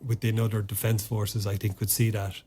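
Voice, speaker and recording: A man's voice: fairly low pitch, little pitch movement, brisk, warm tone, husky. An Irish man talking as a podcast guest in a casual interview, often stopping and restarting his sentences with fillers. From Ireland. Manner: hesitant, many fillers and restarts. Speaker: podcast guest, former soldier.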